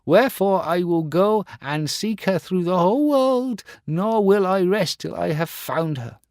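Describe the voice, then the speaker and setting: A man doing a scornful proud boy, high. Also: expressive, unhurried, darkish tone, no echo, studio quality. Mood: remorseful. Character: proud cruel boy turned remorseful.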